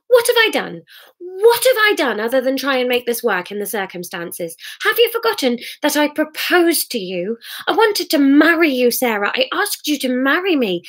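A woman's voice: high voice, pitched above the performer's own voice, exaggerated voice. A woman voicing a tipsy young man pleading in an argument. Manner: raised, pleading, half shouting. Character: hurt young man arguing with his partner, tipsy.

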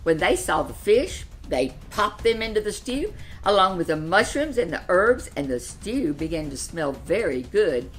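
Older woman reading a story, lively intonation. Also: fairly low pitch, normal speed, faint music behind. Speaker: storyteller reading a children's picture book. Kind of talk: expressive read-aloud storytelling. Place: furnished living room, seated in an armchair.

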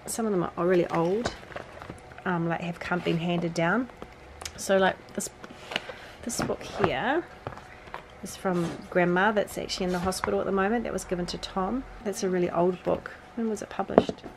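A woman's voice: fairly low pitch, creaky, slight background noise. A woman in her forties chatting as she sorts a bedroom, talking to her sons between remarks, with lots of fillers. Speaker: vlogger, mother. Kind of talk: casual decluttering narration. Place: bedroom, indoors.

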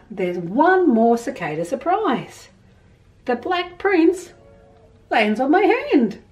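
A middle-aged Australian woman presenting storytime, reading and explaining to young children in an expressive, emphatic way from a small room at home. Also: a little high, far from the mic.

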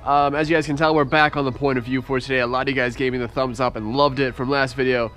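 A young man with a congested voice, chatting excitedly to viewers as he works on his car in a driveway, recorded on a head-mounted camera. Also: mid-range voice, quick pace, faint music behind. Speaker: car vlogger. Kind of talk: casual point-of-view vlog. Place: outdoors in a driveway, working in a car. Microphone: head-mounted action camera.

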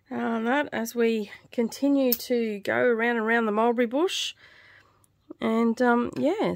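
Middle-aged woman, croaky and gravelly, tired dry throat, casual stitch-along chat, close dry sound. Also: a little high, dry recording. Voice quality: slightly croaky, scratchy voice. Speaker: craft vlog host. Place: small indoor craft room.

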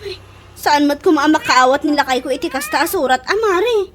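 A woman's voice: high-pitched, expressive, brisk, a bit bright, slight background noise. A woman playing a nagging, exasperated wife in an Ilocano radio comedy drama, with exclamatory delivery and an Ilocano accent. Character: scolding, exasperated wife and gossiping neighbour woman.

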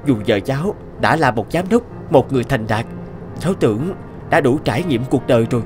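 An actor voicing a young, modest man who talks in an earnest, soft-spoken way in a cartoon. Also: medium pitch, animated, a little fast, lightly acted. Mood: respectful. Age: young adult. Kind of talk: cartoon voice acting. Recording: music under the voice. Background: background music.

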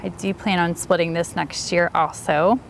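A woman's voice: fairly low pitch, steady tone, normal speed, gravelly, slight background noise, rough recording. A woman giving a chatty, enthusiastic walk-and-talk tour of her home garden outdoors. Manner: chatty, enthusiastic, light laughs. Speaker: garden vlogger.

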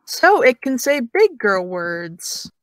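A woman plays a young woman in an audio drama with a fairly high voice, recorded close and dry. She is smug and taunting, needling with sarcastic, teasing remarks. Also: very animated, slow, no room sound. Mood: mocking. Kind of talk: audio drama dialogue of a video call.

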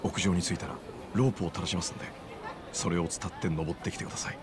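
An actor playing an easygoing male sidekick who talks in a relaxed, polite way. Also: sits low, fast, background noise, close to an everyday voice. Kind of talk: video game cutscene acting.

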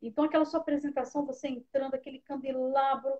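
A woman's voice: sits high, flat delivery, fast, darkish tone, highs cut off. A Brazilian woman interviewing a dance teacher in a live online session, admiring and eager, reading out viewer questions.

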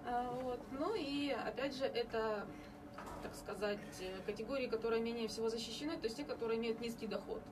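A woman in her thirties from Ukraine, explaining services as a guest in a radio studio interview, measured with many hesitation fillers. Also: fairly high pitch. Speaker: home care agency representative. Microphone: studio mic on boom arm, captured by far camera. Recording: distant camera audio with background noise.

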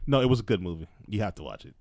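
A man's voice: on the low side, expressive, very fast, darkish tone, dry recording. A man in casual podcast banter, talking in a slangy, profane, emphatic way into a close microphone. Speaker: podcast host. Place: small room at home, around a table.

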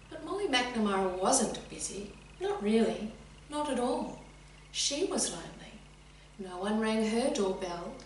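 A middle-aged woman reading a children's story expressively and deliberately, addressing young listeners directly. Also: on the high side. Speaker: teacher reading a picture book. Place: indoors, sitting room with bookcase. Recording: very echoey room, distant mic, faint hum.

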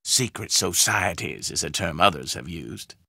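An actor playing a pompous older doctor in a video game, speaking in a formal, deliberate, theatrical way. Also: male voice, deep voice, animated, creaky, bright, forceful tone, husky, no room sound, studio quality, exaggerated voice. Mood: dry, musing. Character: pompous, gloomy old Southern doctor, the dead man's friend.

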